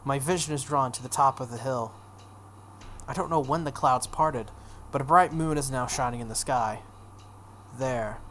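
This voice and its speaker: A woman's voice: very deep voice, normal speed, fairly bright tone. A woman hosting a video game playthrough, reading a visual novel aloud expressively with offhand casual asides. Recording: some background noise.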